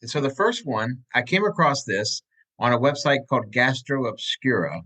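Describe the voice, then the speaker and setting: A man's voice: mid-range voice, very animated, normal speed, pushed and bright. A man hosting a casual podcast over a video call, telling joking, rambling stories. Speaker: podcast host. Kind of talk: casual chat. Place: room at home.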